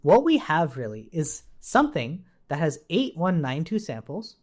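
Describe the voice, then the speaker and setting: A man's voice: fairly high pitch, sing-song, normal speed. A man giving an informal, explanatory coding walkthrough as an instructor, thinking aloud as he goes. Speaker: programming tutorial instructor. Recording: faint background noise, keyboard typing clicks. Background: computer keyboard typing and clicks.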